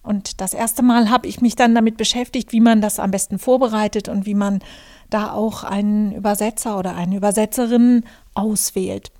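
Mellow-voiced German woman hosting a podcast. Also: medium pitch, steady tone, normal speed. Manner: reflective, thinking aloud with many fillers and restarts. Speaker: podcast host, journalist and author. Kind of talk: solo podcast monologue.

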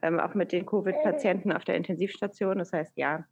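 Guest: woman in her thirties, low gravelly voice, hesitant and thoughtful, speaking over a video call. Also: steady tone, fairly quick, darkish tone, lo-fi sound. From Iran. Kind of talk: casual interview conversation.

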